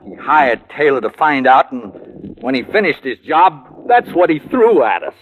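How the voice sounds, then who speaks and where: A man's voice: a little high, very animated, measured pace. A man acting a desperate, nervous young American fugitive in an old-time radio crime drama, stammering and pleading. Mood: weary, confessing. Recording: muffled old radio broadcast recording.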